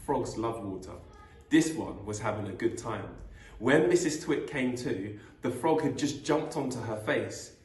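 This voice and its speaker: A man reading a children's story aloud in an expressive, deliberate storytelling manner. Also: medium pitch. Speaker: school vice principal reading a children's story. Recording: echoey room.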